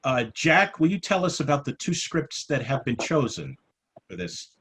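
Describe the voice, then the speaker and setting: A man's voice: a little high, very animated, moderate pace, a bit bright. A middle-aged American man chairing an informal club meeting in a playful, mock-formal way, on a headset over a video call. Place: small room at home. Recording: noisy video-call audio with clicks.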